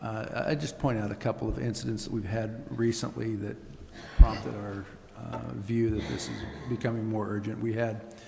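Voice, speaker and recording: A man, a city official, answering council questions in a halting way with frequent "uh" pauses. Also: lowish voice, level tone, vocal fry, noisy background. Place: council chamber. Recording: reverberant chamber with faint ambience.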